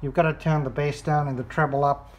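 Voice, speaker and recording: A person giving a casual hands-on product demonstration in a measured, explanatory way. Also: mid-range voice, little pitch movement, dull audio. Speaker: radio reviewer. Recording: clear background noise.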